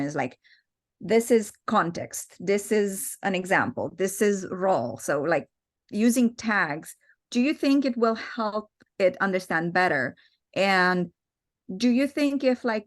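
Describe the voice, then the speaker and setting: A woman whose first language is Romanian, a participant asking hesitant questions on a call while searching for words. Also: medium pitch. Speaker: training participant.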